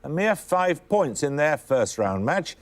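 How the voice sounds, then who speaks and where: A male quiz host with a fairly high, lively voice reads out questions crisply and formally. He has a dry edge as he moves the teams along. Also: measured pace.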